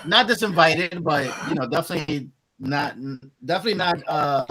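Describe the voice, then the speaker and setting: A man's voice: on the high side, animated, a little fast, crowd noise. A man in his forties from Colombia with a Spanish-inflected accent, reflecting thoughtfully as a podcast guest in a casual long-form conversation. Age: 40s. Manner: reflective, searching for words.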